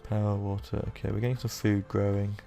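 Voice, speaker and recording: A young man with a sore, croaky throat, rambling casually through let's-play commentary with lots of fillers. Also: lowish voice, level tone, brisk, warm tone, soft background music. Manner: rambling, self-deprecating, with many fillers. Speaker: gaming YouTuber.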